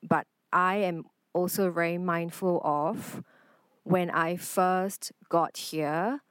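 Woman, podcast guest, earnest, lowish voice, dry close sound. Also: steady tone, takes it slowly, a bit bright, clean tone, dry recording. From Singapore. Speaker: guest artist. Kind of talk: reflective podcast conversation.

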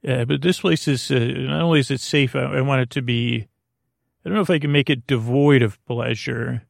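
A man with a creaky voice hosting a sleep podcast in a lulling, meandering monologue, halting and trailing off mid-thought. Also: mid-range voice, no room sound, clean studio sound. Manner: lulling, soothing delivery. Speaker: host of a bedtime podcast.

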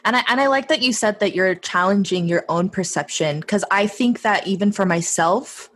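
A woman's voice: mid-range voice, moderate pace, a bit bright, slight background noise. A woman from San Diego in a casual talk, reflecting on her own experience. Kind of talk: casual chat.

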